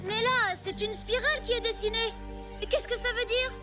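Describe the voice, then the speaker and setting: A woman's voice: very high-pitched, steady tone, normal speed. A woman voicing a curious, good-natured young child in a French cartoon, with a friendly, inquisitive manner. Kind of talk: French animated series dub acting. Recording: loud music behind the voice.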